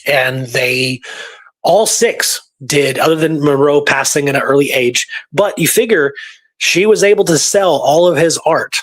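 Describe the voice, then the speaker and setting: A man's voice: on the high side, unhurried, a bit bright. A man hosting a casual history talk, partly reading from notes, with an informal, rambling way of thinking aloud. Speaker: show host presenting researched history.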